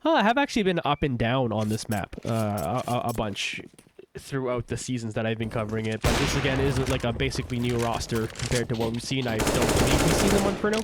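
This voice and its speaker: A young man with a cold calls a shooter match on his own stream, rambling and chatty as he restarts his sentences. His voice sits mid-range, and loud gunfire and clicks from the game run clearly behind him. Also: medium pitch, animated, fast talker, very noisy. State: has a cold, stuffy. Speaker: esports shoutcaster. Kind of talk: casual play-by-play commentary on a livestream.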